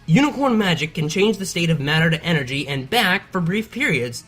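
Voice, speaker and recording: Excitable pony scientist, acted, animated pitch, nerdy, precise, scripted science explainer, music behind. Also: brisk. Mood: excited. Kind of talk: scripted educational dialogue.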